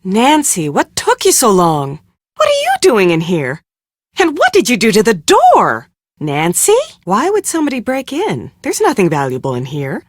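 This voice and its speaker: Brisk, exasperated film crew boss, animated voice. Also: female voice, medium pitch, dry recording, clean studio sound. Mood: indignant. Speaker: voice actor in an adventure game. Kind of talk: scripted game character dialogue.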